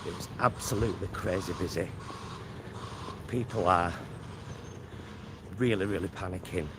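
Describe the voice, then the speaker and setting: A man's voice: sits low, moderate pace. A man chatting casually in a rambling walking vlog, thinking aloud as he films himself outdoors. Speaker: vlogger. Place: outdoors, walking near a supermarket and roads. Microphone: handheld camera in selfie mode. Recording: loud outdoor street and traffic noise.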